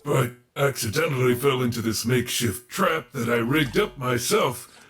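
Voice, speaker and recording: A man playing an excitable, pompous, bumbling animatronic bear entertainer in an audio roleplay, theatrical and overly formal. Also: medium pitch, moderate pace, close to an everyday voice. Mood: earnest, proud. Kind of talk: fan audio roleplay acting.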